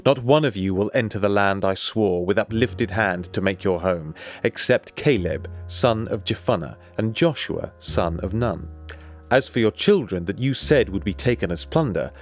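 A man reads scripture in a measured, solemn way. His voice sits a little low, and there is music behind him as he delivers the scripted passage. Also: lively intonation. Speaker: scripture reader. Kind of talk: scripted Bible reading.

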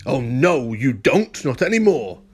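A man voicing a greedy, boastful rat highwayman from a picture book who bellows his demands. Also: sing-song, unhurried, breathy edge, faint music behind. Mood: boastful bellowing.

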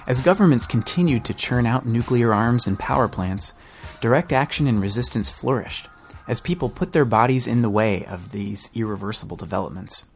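A man's voice: mid-range voice, animated, normal speed. A man reading scripted documentary-style narration for a podcast. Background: music.

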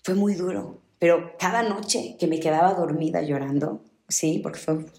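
Woman from Ecuador, motivational speaker, lowish voice, earnest and repetitive, echoey room. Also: moderate pace, darkish tone. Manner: earnest, repeats phrases for emphasis. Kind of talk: motivational talk.

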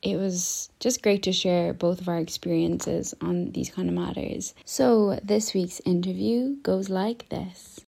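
A woman's voice: lowish voice, steady tone, unhurried, creaky, hardly any pauses, slightly rough. Young woman, reflective and casual. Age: young adult. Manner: reflective, often says "like", lines trail off quieter. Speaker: podcast host. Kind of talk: casual personal monologue.

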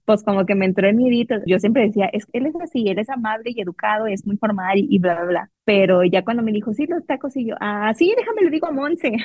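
A woman's voice: mid-range voice, brisk, darkish tone, no room sound, dull audio. A woman telling a personal story in a casual, chatty way, often quoting herself. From Mexico. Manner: chatty, laughing, quotes herself and others. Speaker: guest telling her love story.

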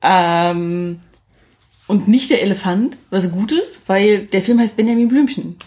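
A woman's voice: mid-range voice, unhurried, a little mellow. A woman co-hosting a podcast, giving her take on films in casual conversation. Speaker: podcast co-host. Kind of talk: casual film review chat.

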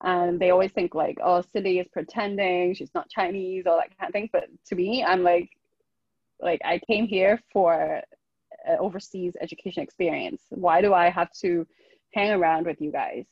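A woman's voice: fairly low pitch, fairly even intonation, moderate pace, slightly rough, no echo, lo-fi sound. A woman from Hong Kong talking casually as an interview guest, telling her own story.

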